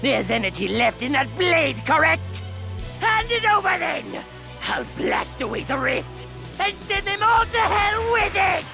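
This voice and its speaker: A man playing a sadistic, arrogant alien tyrant in an anime game dub, haughty and theatrical, with a shrill gravelly voice. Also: big pitch swings, measured pace, full character voice. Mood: gloating, menacing. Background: game music.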